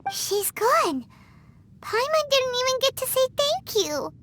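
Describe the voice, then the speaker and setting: A woman's voice: extremely high pitch, very animated, takes it slowly, fairly bright tone, a little hiss or hum, exaggerated voice. A woman voicing a tiny, childlike fairy companion in an anime game, bubbly and blunt with a cheeky way of talking. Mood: indignant. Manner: refers to self in third person, cheeky.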